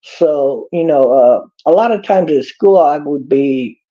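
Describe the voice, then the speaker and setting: A man's voice: on the high side, lively intonation, a little slow, dark tone. A man with a Texas accent giving a rambling, preachy monologue in a folksy drawl. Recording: dull lo-fi clip.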